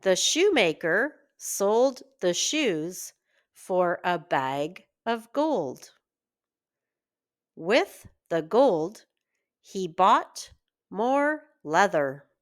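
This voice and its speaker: A woman reading a children's fairy tale aloud in an expressive, deliberate storytelling manner. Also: medium pitch. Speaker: children's story reader, teacher.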